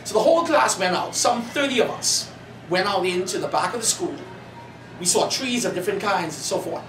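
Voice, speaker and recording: A man in his thirties from the Bahamas, an author and pastor telling his life story in earnest, building to animated emphasis at a cafe event. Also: high voice, fairly quick, soft background music. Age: 30s. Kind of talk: personal testimony at a bookstore event. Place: coffee cafe in a shopping mall. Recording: roomy, distant, noisy cafe recording.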